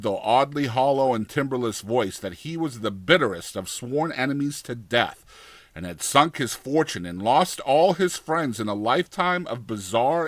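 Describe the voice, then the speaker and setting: A man reading a gothic horror story as a dramatized audiobook, with deliberate, theatrical delivery and formal, dramatic pauses. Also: medium pitch, fairly bright tone, no echo. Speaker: audiobook narrator.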